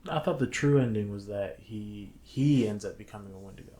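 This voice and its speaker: A man's voice: lowish voice, a little fast, dark tone. A man co-hosting a podcast, chatting casually and joking about movies. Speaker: podcast co-host. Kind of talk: casual chat reviewing movies.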